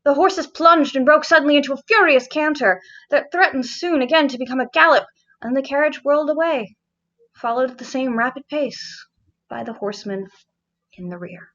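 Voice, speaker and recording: A woman with a high voice narrates gothic fiction. Her reading is deliberate and expressive, with a literary turn, on a slightly dull, lo-fi recording. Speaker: audiobook narrator.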